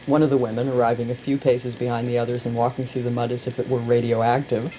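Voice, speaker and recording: A woman reading a humorous memoir aloud in an expressive, wry, deliberate storytelling style, recorded on an amateur cassette. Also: extremely low pitch, low-quality recording. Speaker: radio personality reading aloud. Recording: hissy amateur cassette tape, faint noise.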